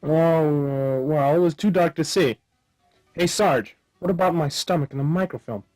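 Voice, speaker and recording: A man's voice: fairly high pitch, normal speed, darkish tone, soft background music, close to an everyday voice, low-quality recording. An actor playing a dim, literal-minded male detective hero in a comic radio play. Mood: naive, earnest.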